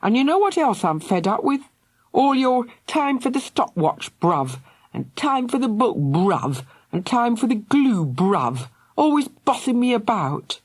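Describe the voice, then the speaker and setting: Woman doing a furious little brother in a storybook, sneering, husky, pitch jumping wildly. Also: big pitch swings, normal speed, dry recording. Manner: snarling, shouting insults.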